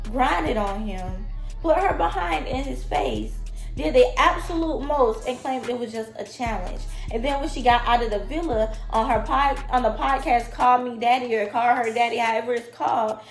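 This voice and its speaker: Woman, raspy from a cold, fairly high voice, blunt opinion vlog, echoey room, loud music underneath. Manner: blunt, emphatic, talks to viewers as y'all. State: sick, raspy voice. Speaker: reality TV commentator.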